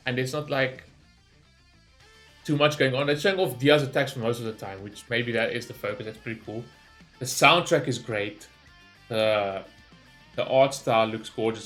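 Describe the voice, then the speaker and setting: A man gives casual reaction commentary for a gaming channel. He rambles and thinks aloud in an informal way, with a mid-pitched voice over faint music. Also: fairly bright tone.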